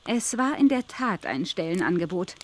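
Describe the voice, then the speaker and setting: An actress playing a polite, anxious young governess in a German audio drama, speaking in an earnest, deferential and neatly articulated way. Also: female voice, on the low side, very animated, moderate pace.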